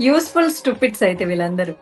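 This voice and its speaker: A woman hosting a podcast, chatting and questioning her guest in a casual conversational style. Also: medium pitch. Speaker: podcast host.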